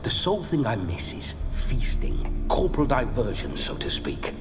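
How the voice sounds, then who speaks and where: A man's voice: lowish voice, huge pitch swings, moderate pace. An actor playing a roguish, bawdy ghost of a dead nobleman in a video game, with theatrical, swaggering, old-fashioned speech. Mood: wry, boastful. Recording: long reverb, music behind.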